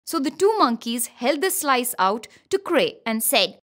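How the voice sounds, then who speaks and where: High-pitched, animated woman storyteller. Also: a little slow. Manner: expressive, theatrical. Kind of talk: animated scripted children's story narration. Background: children's music.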